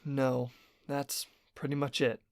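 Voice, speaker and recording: A man voicing a young wolf lab worker in an animated story, earnest and quietly defiant, speaking with hesitant restraint. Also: sits high, slow pace, no room sound, studio quality, pitched above the performer's own voice. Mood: subdued, resigned.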